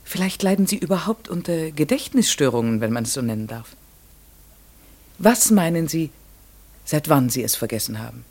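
A man's voice: fairly high pitch, lively intonation, normal speed, darkish tone. An actor playing a curious, courteous, methodical man in a classic radio play, speaking in a careful, articulate and inquisitive way. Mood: curious, probing. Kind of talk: classic German radio play, narration and dialogue.

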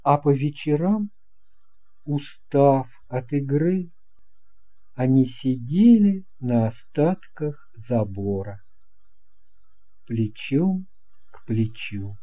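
Very slow, mellow storybook narrator. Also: mid-range voice, expressive, low-quality recording. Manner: measured, deliberate short phrases.

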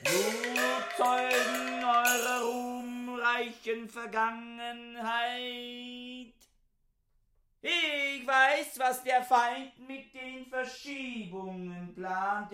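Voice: medium pitch, little pitch movement, very slow, bright, forceful tone, soft background music. A performer in a German radio play voicing the solemn echo of a fanatical scholar's thoughts in incantatory, theatrical declamation. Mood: exalted, martial.

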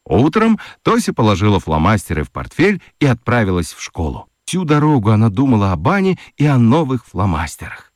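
Narrator: a man with a low voice and very lively intonation, dry studio sound. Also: a little fast, dry recording. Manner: expressive storytelling. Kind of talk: scripted narration for a children's radio play.

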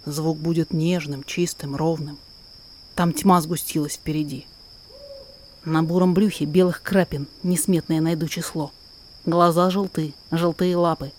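A woman narrator reading a poetic story aloud in expressive, measured, dramatic verse. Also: deep voice, a little hiss or hum.

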